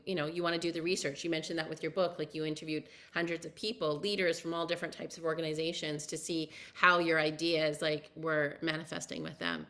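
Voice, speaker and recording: A woman's voice: lowish voice, pushed and bright. A woman interviewing a guest as a podcast host, chatty and effusive, with long, winding questions. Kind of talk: casual conversational interviewing.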